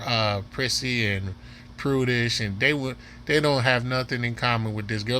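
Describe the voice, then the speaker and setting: A man with a sharp voice reacting off the cuff to a clip on his video channel, taking his time and repeating himself, faint noise around him. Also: mid-range voice. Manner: repeats himself, urging viewers to watch. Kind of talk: casual off-the-cuff commentary on a dating show.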